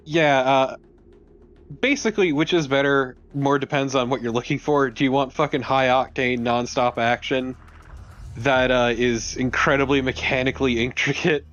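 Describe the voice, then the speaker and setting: A man's voice: mid-range voice, steady tone, moderate pace, a bit bright, highs cut off. A man co-commentating on a let's play in a blunt, casual, sweary way. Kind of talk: casual chat.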